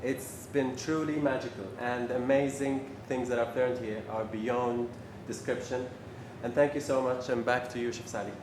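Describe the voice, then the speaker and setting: A man's voice: mid-range voice, steady tone, moderate pace, few pauses. A man from Jordan, a pastry student introducing himself in an upbeat, joking way. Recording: echoey room with background noise.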